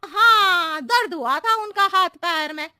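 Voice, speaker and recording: Woman doing shrill riddling cartoon maid. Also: animated, slow pace, no echo, clean studio sound, exaggerated voice. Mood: cheeky. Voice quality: very high, shrill and bright. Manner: roundabout, playful, cheeky pleading. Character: scatterbrained chatty adult housemaid woman who talks in riddles. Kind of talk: cartoon story dubbing.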